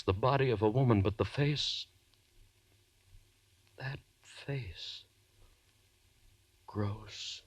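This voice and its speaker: Haunted condemned man, low dry voice, feverish and halting narration, 1940s radio horror. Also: slightly rough, no echo, heavily acted. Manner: theatrical, halting, feverish first-person narration. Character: haunted condemned man recounting his nightmares, terrified, desperate. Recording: 1940s radio broadcast recording.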